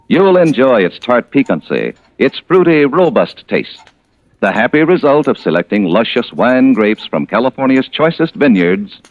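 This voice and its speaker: A man with an American accent delivering a polished, emphatic sponsor pitch in 1940s radio style. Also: no room sound. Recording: muffled, dry old radio recording.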